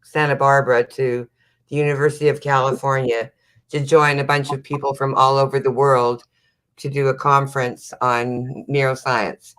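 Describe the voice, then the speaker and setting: A woman's voice: rumbling low, little pitch movement, moderate pace, fairly bright tone, poor audio. A woman in her sixties who is a guest on an online panel and talks in a plain, matter-of-fact way. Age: 60s. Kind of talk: casual online panel chat.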